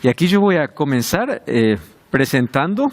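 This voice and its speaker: Guy presenting a bible study program, very lively pitch, welcoming, talks right to viewers. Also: moderate pace. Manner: welcoming, addresses viewers directly. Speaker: host of a Bible study show.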